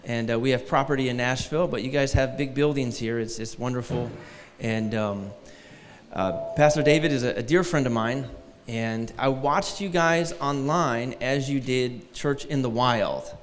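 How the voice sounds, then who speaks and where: A man's voice: medium pitch, normal speed, big-room sound, slight background noise. A man in his forties from Detroit, a guest preacher giving a sermon with a light, thin voice and a joking, self-deprecating manner. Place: large church hall.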